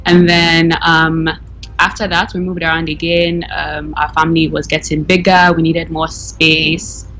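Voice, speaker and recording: A woman's voice: deep voice, little pitch movement, measured pace, fairly bright tone, low-quality recording. A young woman from Nigeria, talking casually as a caller, with lots of fillers and self-corrections. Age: young adult. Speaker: anonymous caller. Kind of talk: casual interview.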